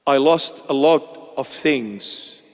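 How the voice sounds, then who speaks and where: A bishop in his fifties preaching a sermon emphatically, dropping low and intense and then rising to exhort. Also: drawn-out words, big-room sound. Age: 50s. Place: church.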